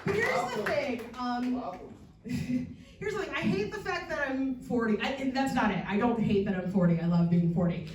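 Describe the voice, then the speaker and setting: A woman's voice: a little high, normal speed, far from the mic, noisy background. Bawdy woman comic in an echoey room. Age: 40s. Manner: deadpan bawdy punchlines, chatty asides with filler ums. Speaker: stand-up comedian. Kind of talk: stand-up comedy set. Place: comedy theatre stage before a live audience.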